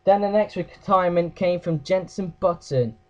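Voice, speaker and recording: A man with a croaky voice giving a casual race recap, hesitant, with fillers and self-corrections. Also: high-pitched, normal speed, lo-fi sound. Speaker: race review host.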